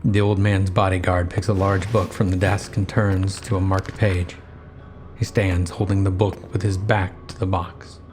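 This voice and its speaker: A man narrating a first-person horror story in measured, somber short phrases. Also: deep voice, little pitch movement, darkish tone, slight background noise.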